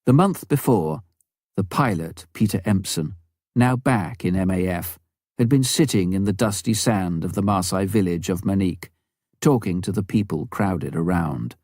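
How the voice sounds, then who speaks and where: A man reading a missionary story as an audiobook narrator, with a measured storytelling delivery. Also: low-pitched, a little mellow, dry recording, clean studio sound.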